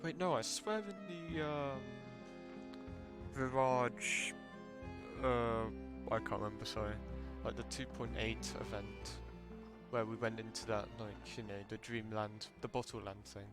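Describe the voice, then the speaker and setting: Offhand male game streamer, mid-pitched. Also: steady tone, unhurried. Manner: offhand, musing, unsure recall. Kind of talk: casual live gameplay commentary. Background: game music.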